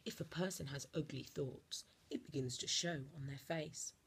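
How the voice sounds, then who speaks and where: A woman reading a children's storybook aloud like a teacher, expressively, with theatrical emphasis and deliberate dramatic pauses. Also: deep voice, fairly bright tone, husky.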